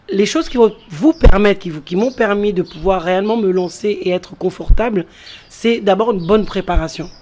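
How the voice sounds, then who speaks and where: A woman's voice: fairly low pitch, darkish tone, a little hiss or hum. A woman who grew up in France, talking as a guest in an interview and explaining things step by step, repeating key phrases for emphasis. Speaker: entrepreneur guest. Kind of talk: interview talk.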